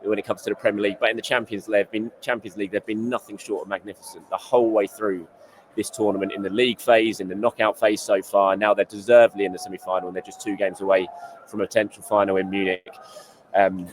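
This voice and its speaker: A man hosting a football show, talking fast in a rambling, elated post-match report from a stadium while losing his voice. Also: fairly low pitch, flat delivery, a little hiss or hum. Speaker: football journalist and show host.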